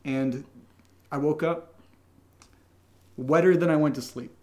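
Guy with a highish voice, podcast cohost, jokey self-deprecating, just chatting casually. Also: steady tone, slow pace, dark tone. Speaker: podcast co-host, audio drama writer. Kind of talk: casual unscripted Q&A chat.

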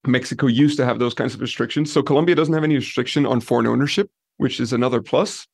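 A man from Denmark talks through the practical side of renting out and managing property. His voice has a gravelly edge, and the sound is dry and close, with no room echo. He lays out points calmly and backs them with examples. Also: medium pitch, fast talker. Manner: relaxed, practical, explains with examples. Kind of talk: podcast interview.

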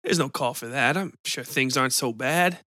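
A man's voice: mid-range voice, normal speed, sharp tone, no echo, studio quality, natural voice. A man voicing an irritable, awkward bar patron who answers curtly. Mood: irritated. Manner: curt and uneasy.

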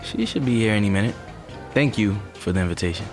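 A man's voice: fairly low pitch, fast, a little mellow, soft background music, natural voice. An actor playing a mild, reassuring male partner with a slightly hesitant manner in a naturalistic audio drama. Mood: polite. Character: gentle, supportive male partner, bashful aspiring writer.

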